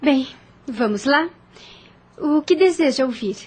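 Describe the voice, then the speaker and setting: An actress in an audio novel playing a proud, frank but kindly young widow on a colonial farm, speaking formally and directly. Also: female voice, high-pitched, animated, takes it slowly. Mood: pleased, gracious.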